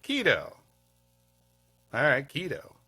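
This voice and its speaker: A man's voice: mid-range voice, huge pitch swings, takes it slowly, sharp tone, slightly rough, no room sound, clean studio sound, soft background music. A man co-hosting a comedy podcast, improvising wry quips and asides in casual banter. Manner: quipping, wry.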